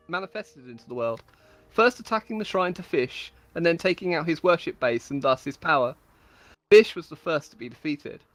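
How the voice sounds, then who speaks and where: A woman's voice: on the low side, fairly bright tone, no echo. A woman storyteller giving a dramatic, mock-epic reading of comic fantasy stories, with amused asides. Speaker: storyteller on a game server.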